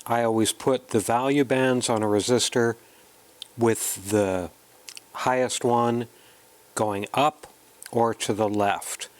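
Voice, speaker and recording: A man narrating a hands-on tutorial in a casual, halting way, thinking aloud as he works. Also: mid-range voice, steady tone. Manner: unhurried, halting, thinking aloud while working. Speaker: electronics hobbyist presenting a kit build.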